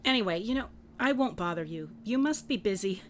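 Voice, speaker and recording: A woman's voice: medium pitch, lively intonation, fairly quick, slight background noise, close to an everyday voice. A woman playing a guarded, hesitant private investigator and former police officer in a contemporary radio drama. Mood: hesitant, awkward.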